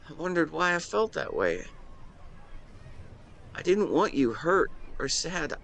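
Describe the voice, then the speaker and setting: A young man playing a lovestruck twenty-four-year-old confessing to his best friend, speaking earnestly and haltingly in an intimate romantic audio roleplay. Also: fairly high pitch, very animated, gravelly, a bit bright, slight background noise, heavily acted. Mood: pained, earnest. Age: young adult. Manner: earnest, tender, halting phrasing. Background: seaside boardwalk ambience effects.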